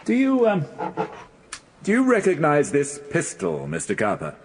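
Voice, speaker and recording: A stern male British barrister in a courtroom radio play. The voice is husky and very animated in pitch, yet formal and insistent. He presses each question deliberately. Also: heavily acted. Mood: formal probing.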